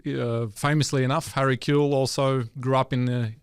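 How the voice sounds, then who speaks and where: A man's voice: mid-range voice, little pitch movement, normal speed, clear, resonant tone, no room sound. An Australian man, a former goalkeeper, talking about his football upbringing in a casual interview, thoughtful with many fillers. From Western Sydney, Australia. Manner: reflective, many fillers and restarts.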